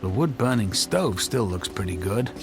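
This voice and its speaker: An actor in a radio drama playing an anxious, conscience-stricken husband, hesitant, earnest and pleading. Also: male voice, on the low side, animated, normal speed, music in the background. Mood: subdued, resigned.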